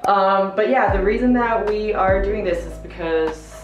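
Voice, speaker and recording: A woman's voice: mid-range voice, measured pace. A woman in her twenties chatting casually as co-host in a living room, rambling with many fillers. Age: 20s. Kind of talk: casual chat. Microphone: camera across the room. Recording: roomy echo, mic far off, music behind.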